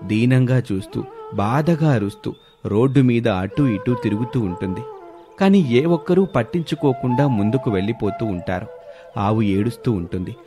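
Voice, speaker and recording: Male narrator, scripted moral tale for children, medium pitch, background music. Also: animated, normal speed.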